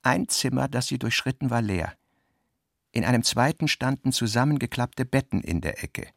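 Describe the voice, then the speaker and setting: A man's voice: medium pitch, lively intonation, normal speed, gravelly, no echo, studio quality. A man reading scripted literary narration for a radio play as its narrator.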